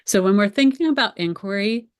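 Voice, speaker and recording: A woman's voice: mid-range voice, lively intonation, a little fast, clear, resonant tone, no echo, studio quality. A middle-aged American woman teacher presenting an educational webinar in a conversational, explanatory way, speaking directly to her viewers.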